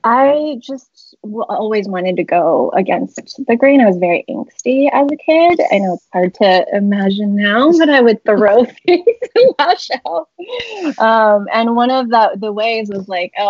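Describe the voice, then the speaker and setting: A young woman from the Bay Area talks loosely on a video call, with a faint rasp in her voice and a mid-height pitch. She wanders through her thoughts with fillers and quick laughs. The sound is dull and dry, like a laptop mic in a small room. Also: lively intonation, no room sound. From San Francisco Bay Area. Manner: rambling, many fillers and laughs. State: slightly raspy voice. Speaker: interview guest, publisher. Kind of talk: casual video-call interview. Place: home room with shelves, indoors. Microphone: laptop webcam video call. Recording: muffled video-call audio.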